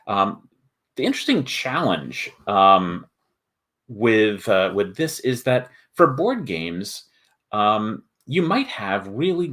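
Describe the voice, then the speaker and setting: A man hosting a casual livestream walkthrough, explaining things in a halting, thoughtful way. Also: fairly low pitch, fairly bright tone. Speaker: host, board game video maker.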